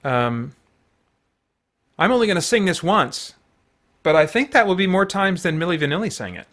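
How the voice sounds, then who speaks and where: A man's voice: high-pitched, sing-song, moderate pace, a bit bright. A man chatting casually as a livestream host, in a rambling, self-deprecating way.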